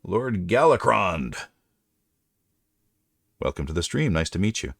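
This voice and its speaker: A middle-aged man with a deep voice, hosting a casual livestream chat and rambling through his thoughts with frequent restarts. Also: very animated, a little slow, gravelly, no room sound. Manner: rambling, self-correcting. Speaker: livestream host.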